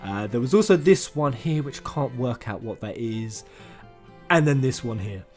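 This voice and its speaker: A man giving casual, sweary gaming news commentary as a channel host, excitable and informal in manner. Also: medium pitch, normal speed. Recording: music under the voice. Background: background music.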